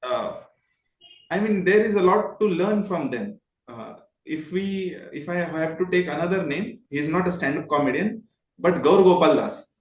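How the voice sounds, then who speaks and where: A man's voice: fairly high pitch, lively intonation, normal speed. A man with an Indian accent explaining things in an interview, politely and expressively, with illustrative examples. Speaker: guest, voice modulation trainer. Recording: roomy, echoing sound.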